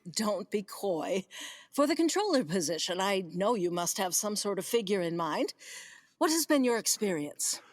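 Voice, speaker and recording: A woman's voice: mid-range voice, sing-song, normal speed, dry recording, studio quality, lightly acted. A woman acting a gracious, commanding, flirtatious vineyard owner with genteel, theatrical emphasis in a comedic radio play. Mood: confiding.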